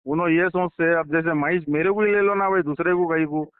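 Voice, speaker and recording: A man's voice: fairly high pitch, fairly even intonation, a little fast, clear, resonant tone, dry recording. Insistent Hyderabadi man on a tinny phone line. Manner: insistent, repeats himself and presses points with questions. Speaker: political insider giving his account to a journalist. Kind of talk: informal phone conversation. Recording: tinny recorded phone call.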